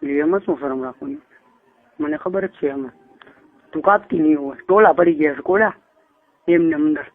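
A man's voice: on the high side, normal speed. A man with a Kathiawari Gujarati accent, chatting casually and privately on the phone. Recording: tinny phone call recording.

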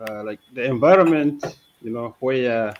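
Ugandan man, mid-pitched, hesitant speaker, dull lo-fi headset audio. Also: slight background noise. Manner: halting, many "uh" and "um" fillers. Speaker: mental health advocate. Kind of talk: panel discussion. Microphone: headset on video call.

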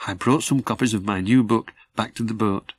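A man reading his own children's story as a measured, scripted narration. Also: on the low side, breathy edge.

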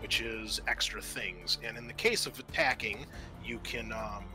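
A man's voice: lowish voice, measured pace. A man acting as game master, patiently explaining tabletop roleplaying rules in a casual, conversational way. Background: music.

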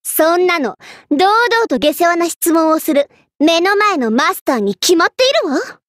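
Haughty young Egyptian queen for an anime game: extremely high voice, theatrical commands, flustered pride, dry studio sound. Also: sing-song, normal speed, a bit bright, no echo, exaggerated voice. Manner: imperious, theatrical, tsundere. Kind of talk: Japanese anime game character voice acting.

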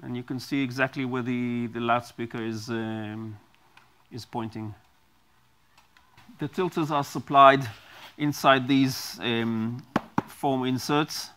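A middle-aged man walks through a product demonstration at an unhurried, deliberate pace, pausing often with ums and restarting his phrases. His pitch sits in an ordinary middle range. He is recorded close on a lapel mic in a small studio. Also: steady tone, fairly bright tone, clear, resonant tone. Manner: slow, halting, many ums and restarts.

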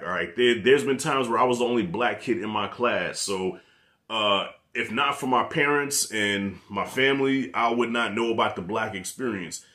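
A man in his thirties with a New York accent, reviewing a show on camera from his living room. He rants in a casual, loose way, swearing freely and talking with his hands. He speaks into a desk mic set up right in front of him. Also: medium pitch. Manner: profane, animated, talks with his hands. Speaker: movie reviewer.

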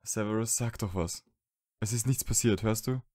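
A man plays a young wizard in an audio drama, stubborn yet emotionally open. The voice is mellow and fairly low, earnest and insistent, with an unguarded colloquial way of speaking. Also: moderate pace, dry recording, clean studio sound, close to an everyday voice. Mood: gentle, reassuring. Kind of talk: fan audio drama acting.

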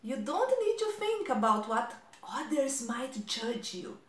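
High-voiced middle-aged woman, slow and simple delivery for children, school counselor style. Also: very animated. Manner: deliberate, simple explaining to children. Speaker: elementary guidance counselor. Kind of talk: children's storybook read-aloud and talk to pupils. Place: indoors, small room at home. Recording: roomy echo, distant mic.

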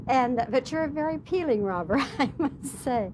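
A woman interviewer in a hotel suite, asking friendly questions with warm chuckles and compliments. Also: high voice, animated, measured pace, noisy background.

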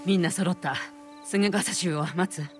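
A woman's voice: fairly low pitch, fairly even intonation, measured pace, music in the background, lightly acted. Actress as a tough, direct young woman. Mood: direct, concerned. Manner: casual, direct. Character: plain-spoken, tough young woman thief ally. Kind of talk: dramatic game dialogue.

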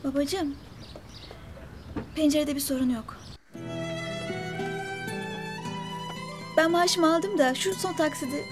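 An actress playing a caring but sharp-tongued grown daughter in a TV drama. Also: female voice, sits high, measured pace. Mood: concerned. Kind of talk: TV drama acting. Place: indoor room in a house, on set. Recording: music under the dialogue.

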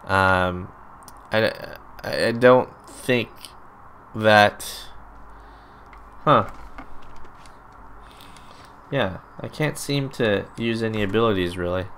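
Male gaming YouTuber: slow, bright delivery, emotional casual reactions. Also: lowish voice, a little hiss or hum. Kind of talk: casual let's play commentary.

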